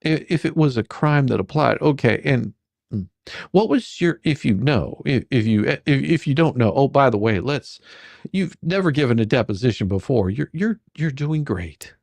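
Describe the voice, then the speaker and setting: A performer doing a comedic dramatic reading as a rambling, halting, faux-folksy lawyer questioning a witness in a deposition. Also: mid-range voice, expressive, gravelly, a little mellow, no room sound. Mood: rambling, formal. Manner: halting, repetitive, mock-sarcastic, stumbling over questions.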